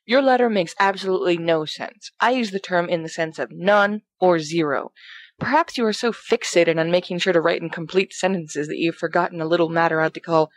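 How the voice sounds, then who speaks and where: A woman's voice: on the low side, fairly quick, no room sound, natural voice. A woman playing a brash, teasing young male letter-writer, blunt and mock-formal, in a dramatic reading. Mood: mock-indignant.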